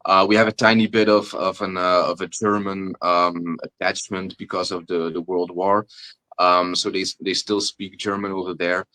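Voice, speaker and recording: A man's voice: deep voice, little pitch movement, bright, forceful tone, no room sound. A Flemish man from Belgium whose first language is Dutch, a rapper guest speaking casually in an interview with many fillers and restarts. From Belgium (Flanders). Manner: halting, many fillers and restarts, laughs often.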